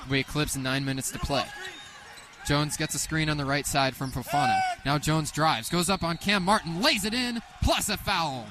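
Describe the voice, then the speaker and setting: A man in his twenties calling basketball play-by-play live on the radio in an excitable manner. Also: fairly high pitch. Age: 20s. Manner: excitable, rising sharply on big plays. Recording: live sports radio, faint background noise.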